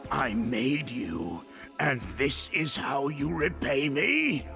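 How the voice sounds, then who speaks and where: Game cutscene villain: indignant mad doctor, drawn-out theatrical lines, big pitch swings, music underneath. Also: male voice, big pitch swings, vocal fry, heavily acted. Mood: indignant shock. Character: betrayed, indignant male mad-scientist villain. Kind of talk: video game cutscene acting.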